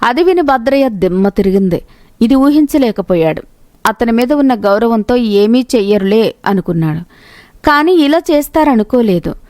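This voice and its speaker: A woman narrates a moral tale from a script. Her voice sits a little high. The sound is dry, with very little room on it. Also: a little mellow, dry recording. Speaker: storyteller narrator.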